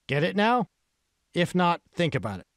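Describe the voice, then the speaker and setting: A man's voice: high-pitched, sing-song, normal speed, a bit bright, no echo, clean studio sound, pitched above the performer's own voice, heavily acted. A man voicing a scheming, menacing tech billionaire in an audiobook, pointed and emphatic. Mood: menacing, insistent. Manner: pointed, emphatic, rhetorical questioning.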